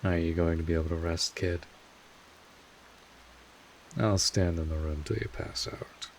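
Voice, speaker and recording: A man playing a world-weary but kindly old vampire mentor in an audio roleplay, speaking informally and in measured phrases with wry asides. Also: deep voice, steady tone, darkish tone, slight background noise, low-quality recording. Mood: gently protective. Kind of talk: second-person audio roleplay monologue.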